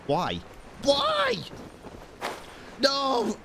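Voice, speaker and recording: A man doing casual live gaming commentary as a streamer, expressive, slangy and sweary. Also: very high-pitched, very slow, vocal fry, background noise. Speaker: game streamer. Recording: game music behind the voice.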